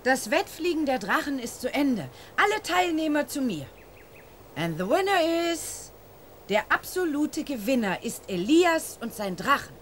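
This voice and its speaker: A fairly high, very animated voice over faint background ambience. It is a brisk but kindly contest announcer in a children's radio play, calling out and encouraging. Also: female voice, slight background noise. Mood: proclaiming. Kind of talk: children's radio drama acting.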